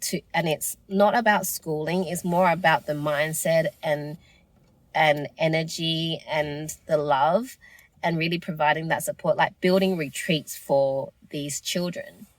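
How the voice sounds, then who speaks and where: Hoarse woman, earnest solo podcast talk. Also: low-pitched, fairly even intonation, a little slow, dry recording. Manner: reflective, earnest, encouraging. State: losing her voice. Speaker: podcast host.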